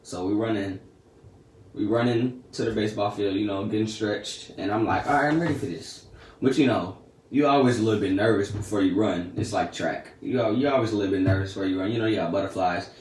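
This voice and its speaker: Teen guy chatting to camera, kinda low voice, sounds far from the mic w/ some hum behind. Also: brisk, a little mellow, roomy sound. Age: teens. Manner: laid-back, slangy and chatty. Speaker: vlogger. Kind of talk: casual storytelling to camera.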